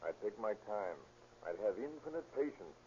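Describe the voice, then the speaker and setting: A man plays a self-assured, patient police detective in a vintage radio play. His voice is dark and mellow, his manner frank and matter-of-fact. The recording is dull and hissy. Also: on the low side, animated, moderate pace, close to an everyday voice. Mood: frankly insistent. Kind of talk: 1930s radio acting.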